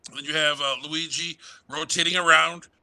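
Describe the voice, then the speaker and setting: A man's voice: on the high side, steady tone, normal speed, creaky, sharp tone, no room sound. A man working as an esports analyst, breaking down team play in a live, coaching tone. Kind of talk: live game commentary. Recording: game gunfire and engine noise under voice.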